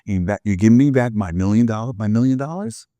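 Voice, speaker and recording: A man voicing a calm, self-assured elderly billionaire investor, plainspoken and fatherly as he gives advice in a told story. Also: lowish voice, brisk, no room sound, lightly acted. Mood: businesslike. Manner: plainspoken, paternal, deal-making.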